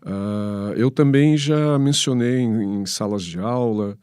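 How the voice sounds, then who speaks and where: A Brazilian man answering as an expert guest in a halting, didactic way, pausing mid-phrase to search for words. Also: on the low side, darkish tone, clean tone, no echo, studio quality. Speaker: history professor, guest commentator.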